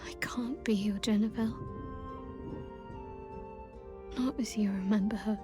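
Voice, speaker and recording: An actress voicing a wistful, guarded but tender young woman cleric in a video game, speaking softly and haltingly. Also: medium pitch, steady tone, over music, lightly acted. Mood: pained, apologetic. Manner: soft, hushed, halting, earnest. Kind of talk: video game cutscene acting.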